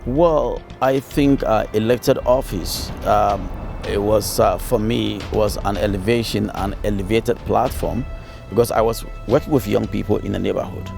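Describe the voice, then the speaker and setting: A man's voice: fairly low pitch, moderate pace, vocal fry, music in the background. A man from Ghana, a city councilor, giving earnest interview answers with fillers and repeated phrases.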